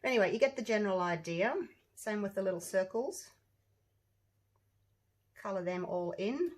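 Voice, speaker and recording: A woman, her voice a little croaky, talks through a hands-on craft project in a chatty, rambling way. She checks in with her viewers as she works and sits at an average pitch for a woman. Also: lively intonation, moderate pace, a little mellow. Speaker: resin craft channel host. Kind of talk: casual crafting tutorial.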